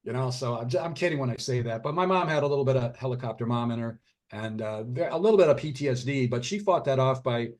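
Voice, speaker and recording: Middle-aged man, podcast guest, earnest and rambling, mid-pitched voice, loose conversational delivery. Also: animated, fast, darkish tone. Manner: rambling, earnest, with many restarts. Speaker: author and physician guest. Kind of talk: conversational interview.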